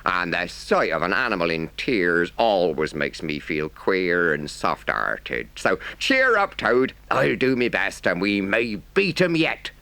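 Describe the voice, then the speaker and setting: A man acts out a storybook engine driver, a working man who is stern yet soft-hearted. His talk is plain, folksy and emphatic, with pitch that swings widely. It sounds close and dry. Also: sing-song, unhurried, creaky, hardly any pauses, dry recording, heavily acted. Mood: gruffly sympathetic. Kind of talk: storybook character voice.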